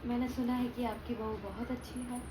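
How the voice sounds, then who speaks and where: A woman's voice: fairly high pitch, little pitch movement, brisk. A young woman talking informally and playfully, as in a casual family vlog with prank calls. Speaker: family vlogger. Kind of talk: casual chat and prank calling. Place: at home, indoors. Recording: noisy home phone recording.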